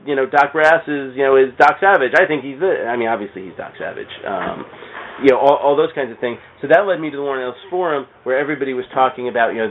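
A man chatting as a podcast guest, telling stories in a rambling, enthusiastic way and repeating words. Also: medium pitch. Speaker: podcast guest, comic shop owner. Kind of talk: casual storytelling chat. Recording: tinny phone-like sound, clear background noise.

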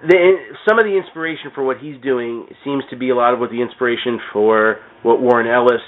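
A man chatting casually on a comics podcast in a rambling, halting way, often repeating words as he goes. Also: medium pitch. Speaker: podcast speaker discussing comics. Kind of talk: casual chat. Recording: thin phone-like radio sound.

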